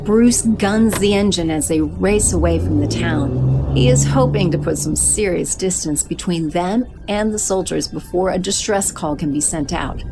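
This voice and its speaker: A woman narrating an audio drama in a steady, descriptive way. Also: fairly low pitch, normal speed. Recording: music bed under narration.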